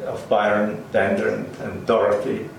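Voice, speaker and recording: An older man from Finland who grew up speaking Swedish, Finnish and German, recalling his life in an interview with hesitant, halting speech. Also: lowish voice, completely flat delivery, gravelly. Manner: hesitant, many ums and restarts, chuckles. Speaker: interviewee, linguist. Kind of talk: oral history interview. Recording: roomy, distant, faint hiss.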